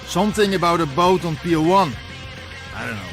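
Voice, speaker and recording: A man's voice: a little high, lively intonation, brisk, over music. A man playing a chatty, tipsy, leering harbour bar local in a video game, talking in simple clipped phrases. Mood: jovial, sly.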